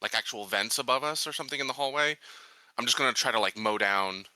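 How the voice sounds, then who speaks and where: A man's voice: medium pitch, fast, bright, forceful tone, no room sound, rough recording. A man playing a tabletop roleplaying game, casually thinking aloud as he describes what his character does.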